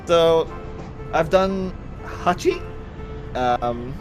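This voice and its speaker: A man's voice: on the high side, drawn-out words. A middle-aged man chatting casually as a livestream co-host while demonstrating his artwork on screen. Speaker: livestream co-host and game artist. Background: music.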